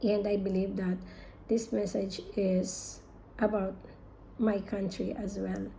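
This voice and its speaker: An older woman from the Philippines with a Filipino accent, speaking haltingly with many fillers as she opens a sermon in English, her second language. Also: mid-range voice, level tone, vocal fry, dark tone, slight background noise, poor audio. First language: Filipino (Tagalog). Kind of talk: sermon introduction.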